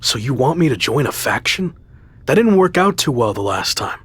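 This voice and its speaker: A man playing a stubborn underdog pro wrestler in a video game, speaking in a husky voice. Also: medium pitch, big pitch swings, normal speed, a bit bright. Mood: defensive, determined.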